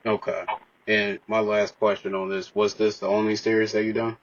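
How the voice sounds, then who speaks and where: A man hosting a casual podcast interview, asking questions in a halting way with pauses. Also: lowish voice, level tone, lo-fi sound, a little hiss or hum.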